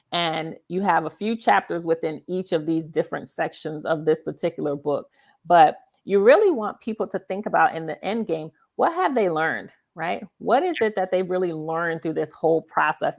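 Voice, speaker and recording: A woman's voice: lowish voice, creaky. A woman hosting a conversational interview, explaining emphatically and often checking in with the listener. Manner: emphatic, frequent check-in questions like "right?". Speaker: talk show host.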